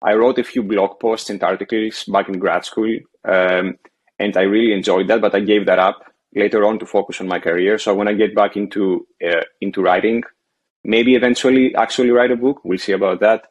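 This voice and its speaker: A man's voice: fairly low pitch, fairly even intonation, brisk. A man in his thirties, originally from Greece, calling in to a show and talking hesitantly with many restarts. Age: 30s. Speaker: caller. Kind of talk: casual phone-in conversation.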